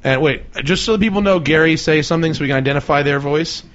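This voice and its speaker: A man's voice: a little high, fast, a bit bright, muffled recording. A man hosting a casual podcast chat, joking and swearing as he rambles. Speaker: podcast host.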